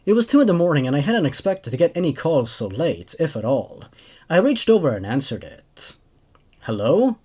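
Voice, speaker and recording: A man in his twenties with an expressive, emphatic way of talking, hosting horror stories. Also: fairly high pitch, brisk, breathy edge. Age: 20s. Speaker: host reading horror stories. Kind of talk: dramatic story narration, then casual commentary.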